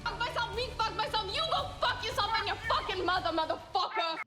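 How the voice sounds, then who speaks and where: A furious young woman who screams curses in a very high, shrill voice. Her tone is bright and piercing, and the film scene around her rings with echo. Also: expressive, a little fast, faint music behind, full character voice. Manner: shrill, swearing, out of control.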